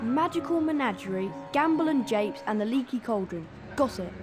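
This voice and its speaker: A woman's voice: on the high side, expressive, normal speed, natural voice. A woman voicing an eager, polite young boy wizard in a video game. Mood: eager, helpful. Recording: music behind.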